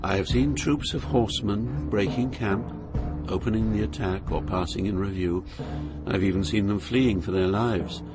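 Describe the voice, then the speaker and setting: An older actor playing a solemn poet, narrating his journey through hell in a measured, literary way. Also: lowish voice, over loud music, natural voice. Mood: grave, haunted. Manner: grave, measured, poetic.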